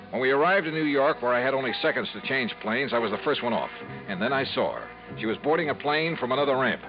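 An actor playing a brash, wry freelance investigator in punchy, hard-boiled 1950s radio style. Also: male voice, mid-range voice, lightly acted. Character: brash, wry freelance insurance investigator narrating his case. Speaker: lead character and narrator. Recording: dull 1950s radio recording.

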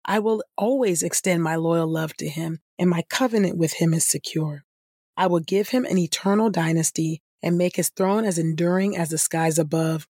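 A woman reading scripture as a podcast host, in a measured style with deliberate, reverent phrasing. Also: lowish voice, darkish tone, no echo, clean studio sound.

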